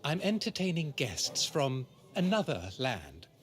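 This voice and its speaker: A man's voice: on the high side, wildly swooping pitch, measured pace, a little hiss or hum. Man acting a courteous, lilting royal. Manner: formal, polite. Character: courteous, lordly royal visitor, male. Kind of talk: scripted radio drama acting.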